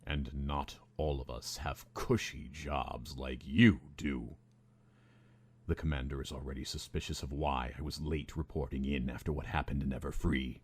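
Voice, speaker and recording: A grumbling pony guard in an audio drama. The male voice is extremely deep and somewhat husky. He talks in a gruff, dry way. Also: steady tone, normal speed. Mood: resentful.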